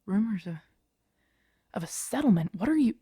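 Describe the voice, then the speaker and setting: A woman playing a protective, guarded young survivor of a zombie apocalypse in an intimate audio roleplay, with hushed, urgent, halting delivery. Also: mid-range voice, expressive, slightly rough, no room sound, clean studio sound. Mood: alarmed, defensive.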